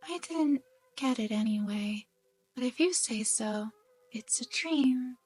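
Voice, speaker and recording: A woman acts a drowsy young anime student, timid and worn out. Her voice is fairly high, soft and breathy, and she speaks slowly and hesitantly over music in a close, dry recording. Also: no echo. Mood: weary, gentle. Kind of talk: anime game fan voice-over. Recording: background music.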